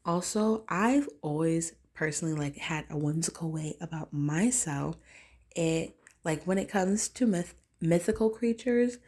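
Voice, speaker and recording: A woman with a notably low, raspy voice talks straight to camera in a relaxed, wandering monologue. She circles her points with plenty of "like" and "um" and restarts. It is an easygoing vlog chat rather than a prepared speech. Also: unhurried. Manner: rambling, filler-heavy, loose and conversational. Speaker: vlogger. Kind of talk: casual talking-head monologue.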